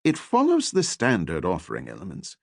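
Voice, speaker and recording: A man's voice: low-pitched, huge pitch swings, a little fast, creaky, no echo, clean studio sound, voice pushed down, full character voice. A man voicing an eager elderly archaeology professor, courteous and scholarly, who lectures with animation in an audiobook.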